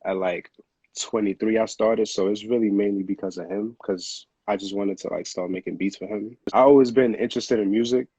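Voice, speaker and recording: A man's voice: on the low side, fairly even intonation, a little fast, warm tone, slightly rough, no echo. A man, talking casually as an interview guest in slangy, repetitive phrasing, on a dull lo-fi recording. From Honduras, moved to the Bronx at eight. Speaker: music producer, interview guest.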